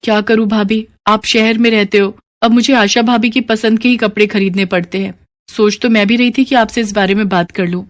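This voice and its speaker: A woman voicing an eager, easily swayed young bride in an animated story, gushing and girlish. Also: medium pitch, brisk, natural voice. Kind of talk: animated moral story character dialogue.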